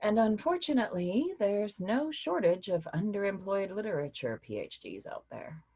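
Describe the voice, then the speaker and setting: A woman playing a weary but caring literature professor in a staged reading, speaking in a measured, hesitant, reassuring way with a husky voice. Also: mid-range voice, very animated, warm tone. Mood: cautious, measured.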